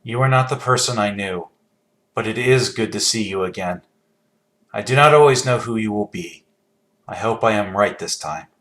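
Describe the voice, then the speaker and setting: A man's voice: lowish voice, normal speed, fairly bright tone, close to an everyday voice. Man playing a solemn, enigmatic, formal man. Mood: solemn, hopeful. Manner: formal, without contractions. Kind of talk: scripted video game voice acting.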